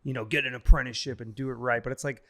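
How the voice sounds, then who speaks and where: A man hosting a podcast, chatting loosely and casually with his guest, with a relaxed, easygoing delivery. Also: medium pitch, racing. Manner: laid-back, loose and swearing. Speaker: podcast host. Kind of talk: casual interview chat.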